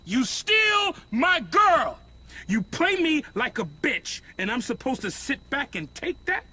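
An actor playing a vengeful crime boss and studio owner in a video game, threatening and taunting. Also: male voice, extremely high pitch, sing-song, slow, sharp tone, slightly rough, no room sound, heavily acted. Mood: enraged. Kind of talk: video game cutscene acting.

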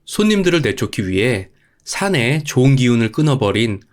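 Man, storyteller, scripted folktale narration, middle pitch, animated intonation. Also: moderate pace.

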